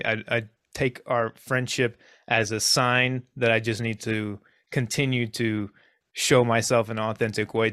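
A man's voice: on the low side, fairly bright tone, dry recording, clean studio sound. Earnest man hosting a podcast. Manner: earnest, appreciative, halting with restarts. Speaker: podcast host. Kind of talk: interview hosting.

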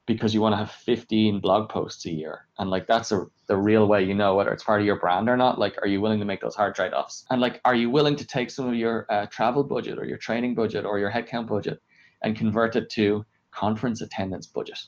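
A man's voice: on the low side, fairly even intonation, brisk, dull audio. A man giving conversational interview answers as an engineering leader, explaining emphatically with lots of "like" and rhetorical questions. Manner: emphatic, filler-heavy, self-questioning explanations with frequent "like". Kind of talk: conversational interview answers about work experience.

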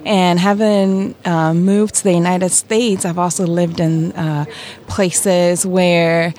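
A woman's voice: fairly low pitch, level tone, slow pace, a little mellow. A young premed woman, earnestly answering a vox pop interview question. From Ghana. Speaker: premed student.

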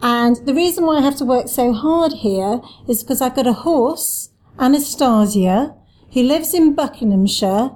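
A woman's voice: high voice, animated, normal speed, darkish tone. A woman in her forties, a communications director answering interview questions in a chatty way with self-deprecating humour. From Wales. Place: office.

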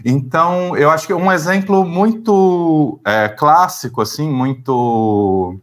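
A man, a therapist, explaining at length in conversation, pausing often to search for words. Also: fairly high pitch. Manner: thoughtful, searching for words, frequent fillers.

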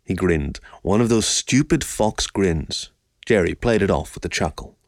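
A man's voice: deep voice, lively intonation, measured pace, no echo. A man reading a scripted story as an audiobook narrator.

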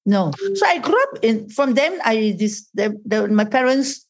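Halting woman in her sixties. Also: on the high side, big pitch swings. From Malaysia, raised in Singapore. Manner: halting, restarts sentences often, searches for words. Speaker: podcast guest. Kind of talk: casual interview chat. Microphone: laptop video call.

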